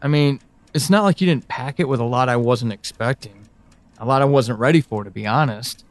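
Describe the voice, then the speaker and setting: A man playing an anxious, pleading character in an audio drama monologue, speaking earnestly and haltingly. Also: mid-range voice, dry recording, natural voice. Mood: hurt, hesitant. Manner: halting, earnest, with pauses and emphatic swings. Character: anxious, pleading man reaching out to a lost loved one.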